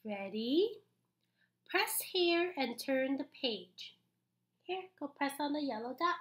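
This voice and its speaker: A young adult woman reading a picture book aloud to small children in an animated, exaggerated, encouraging way, with pauses for them to join in. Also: high-pitched. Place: small indoor room.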